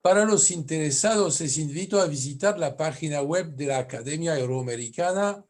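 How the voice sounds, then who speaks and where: A man from France with a French accent, whose first language is French, giving a conference lecture as a family lawyer in careful, halting speech with self-corrections. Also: fairly high pitch.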